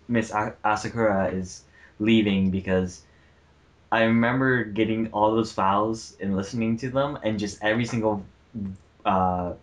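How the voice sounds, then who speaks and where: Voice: medium pitch, poor audio. A fandub cast member chatting casually in a group commentary. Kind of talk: casual chat.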